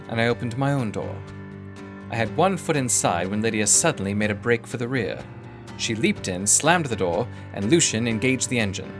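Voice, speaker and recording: A man performing scripted first-person narration of a dramatic story. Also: medium pitch.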